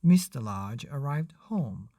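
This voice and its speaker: A man reading a children's bedtime story in an unhurried, expressive way as a podcast narrator. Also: mid-range voice, vocal fry, no room sound, studio quality.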